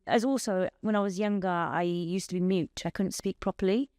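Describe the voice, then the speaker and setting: A woman in her thirties, born in Bangladesh with Bengali as her first language, speaking as a podcast guest in an earnest, measured way and telling her story in long lists. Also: mid-range voice, darkish tone, no echo, clean studio sound. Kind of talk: personal testimony in an interview.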